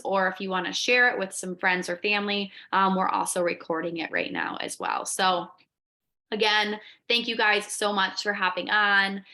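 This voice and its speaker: Female wellness coach, raspy from allergies, bright and keen. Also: mid-range voice. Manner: enthusiastic, chatty. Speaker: wellness coach and podcast host. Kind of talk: casual workshop presentation. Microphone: video call.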